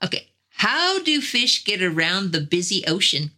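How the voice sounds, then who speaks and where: A woman's voice: mid-range voice, very animated, a little slow, sharp tone. A woman co-hosting a podcast in casual chat, playful and fond of corny jokes. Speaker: podcast co-host.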